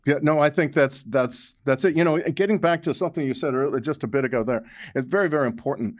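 A man's voice: mid-range voice, fast, no room sound. Emphatic male interview guest, dry sound. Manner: emphatic, repeats words for stress. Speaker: guest, author and journalist. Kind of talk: conversational interview explanation.

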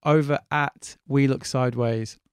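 A man hosts his podcast alone, talking in a loose, candid way and swearing often. His voice sits at a middle pitch and sounds dry and close to the mic. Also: steady tone, a little slow, smooth, no room sound. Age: 40s. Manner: chatty, candid, swears freely. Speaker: podcast host. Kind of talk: casual solo podcast monologue.

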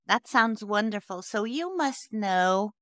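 A woman podcast host who asks her guest questions slowly and with curiosity. Her voice is smooth and clear, and the recording is dry and close. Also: medium pitch, expressive, fairly bright tone, no room sound. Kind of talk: casual interview conversation.

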